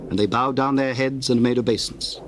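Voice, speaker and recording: A man reads scripture as a narrator. His voice is mid-pitched, formal and measured, telling the story in archaic English. The old cassette recording carries a faint noise. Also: fairly even intonation. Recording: noisy old cassette tape.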